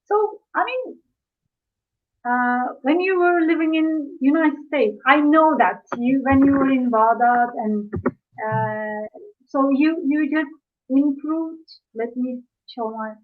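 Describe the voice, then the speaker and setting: Female host, Turkish first language, halting questions, tinny call audio. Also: high-pitched. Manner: halting, searching for words in English. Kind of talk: live-stream interview.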